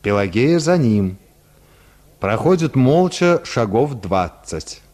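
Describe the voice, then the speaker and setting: A man's voice: medium pitch, sing-song, slow, a little mellow. Male storyteller with very varied intonation. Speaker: narrator. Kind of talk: expressive literary narration for radio drama.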